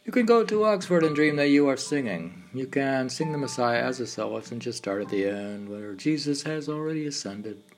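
A man reading his own meditative poetry in declarative phrases with pauses, in a recitation style. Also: medium pitch, animated. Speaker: poet reading own work. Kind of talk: poetry recitation over background music. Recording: music playing under the voice. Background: background music.

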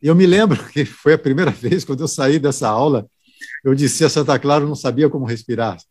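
A man who does voice-over work, telling stories from his career in a relaxed way during a chat with colleagues. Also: medium pitch, fairly quick, husky. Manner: relaxed, reminiscing anecdotal storytelling. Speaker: voice-over announcer. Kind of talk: casual chat among broadcasters.

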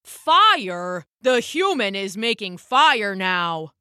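Woman reading as a big sulky ox, grumbling and sarcastic, unhurried, dry close sound. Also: medium pitch, very animated, bright, forceful tone, clean tone, no echo, heavily acted. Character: grumpy weary ox, male, big and slow.

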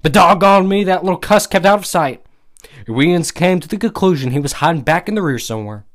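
A man's voice: high-pitched, expressive, fairly quick, a bit bright, pitched above the performer's own voice. A man voicing a tall, blunt, folksy ex-Confederate veteran in a story reading. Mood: folksy, animated. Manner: folksy rustic phrasing.

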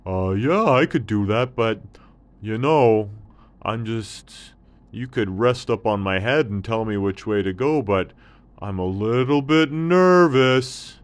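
A man's voice: lowish voice, expressive, slow pace, pitched above the performer's own voice. A man voicing a big, good-natured camel in a children's story, speaking in a polite, plain and slightly hesitant way. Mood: nervous.

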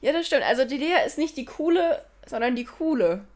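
A young woman in her twenties chats on a casual podcast in a high voice that sits well above most women's. She rambles, giggles and keeps restarting her sentences as she tells her stories. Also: expressive, fairly quick, a little mellow. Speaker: podcast co-host. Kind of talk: casual chat.

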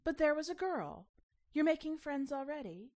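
Woman voicing a coaxing mother with lively pitch. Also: moderate pace. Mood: delighted. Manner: instructing, cajoling, parental. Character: coaxing, well-meaning mother, dismissive of her child's fancies.

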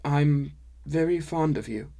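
A man's voice: on the high side, measured pace, gravelly, warm tone, voice pushed up. A man voicing an awkward, smitten young man who speaks earnestly and hesitantly in an audiobook. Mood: nervous, fond. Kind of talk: audiobook character dialogue.

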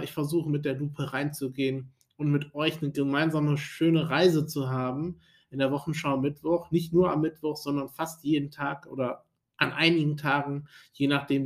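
A young adult man introduces his podcast as host, talking informally and enthusiastically to listeners in loose phrases. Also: fairly high pitch.

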